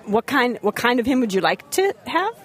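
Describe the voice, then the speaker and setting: A woman's voice: a little high, very animated, normal speed, fairly bright tone, slightly rough. A woman reporter asking short questions in street interviews outdoors. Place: outdoors at a gathering.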